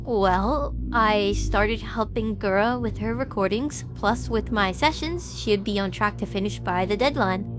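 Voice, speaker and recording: A woman's voice: medium pitch, unhurried, few pauses, music in the background, close to an everyday voice. A woman acting in an animatic audio drama as an earnest, protective young streamer who worries about her friends. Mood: reflective. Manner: earnest, questioning.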